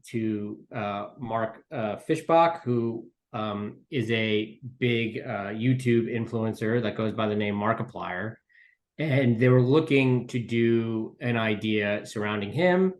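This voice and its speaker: A man speaking casually as a podcast guest, telling how a creative project began, with plenty of conversational filler words. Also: medium pitch. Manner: conversational, frequent 'like' and 'you know'. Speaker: podcast guest, screenwriter and co-creator of an audio drama. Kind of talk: casual interview answers.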